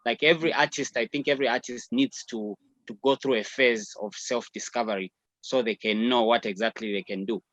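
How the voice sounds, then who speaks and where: A man in his thirties from Zambia, a musician talking reflectively in a remote interview, filling pauses with "you know". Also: medium pitch, steady tone, a bit bright, no echo, dull audio. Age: 30s. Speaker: musician guest. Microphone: video or phone call.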